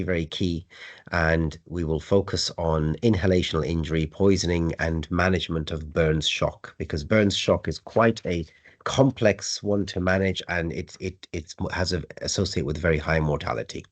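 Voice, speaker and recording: A man, a critical care consultant, giving a measured medical lecture and repeating words while he thinks. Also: sits low. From United Kingdom.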